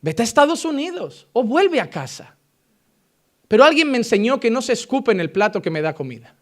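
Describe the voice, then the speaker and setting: A man from Spain preaching a sermon with a Spain Spanish accent, switching between forceful emphasis and quiet, earnest passages. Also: very high voice, very animated, brisk.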